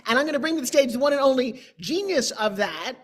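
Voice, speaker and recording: A woman's voice: high voice, animated, fast. A middle-aged woman from New York, chatting informally and emphatically as a show host on a video call. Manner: emphatic, theatrical, conversational asides. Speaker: show host, motivational speaker. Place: indoor room, on a video call. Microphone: video call microphone.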